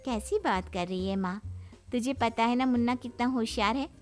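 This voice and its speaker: A woman's voice: on the high side, normal speed, faint music behind, lightly acted. A woman playing a cheeky, persuasive young sister-in-law and aunt in a comic family story. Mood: concerned. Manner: teasing, coaxing.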